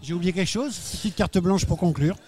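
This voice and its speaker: A man's voice: high voice, mellow, husky. A man hosting a radio interview at an associations fair, joking and bantering with his guests. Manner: jokey, quick banter. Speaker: radio host.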